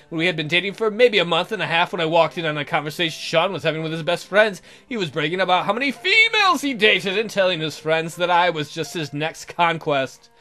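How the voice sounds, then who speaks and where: A man's voice: on the high side, bright, forceful tone. A man hosting a let's play, reading a visual novel aloud in a jokey, theatrical, exaggerated way with comic asides.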